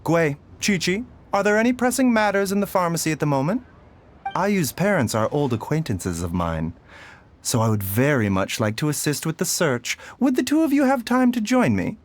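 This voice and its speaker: A man voicing a gentle, courteous doctor who speaks in a soft, formal way in a video game. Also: a little high, sing-song, moderate pace.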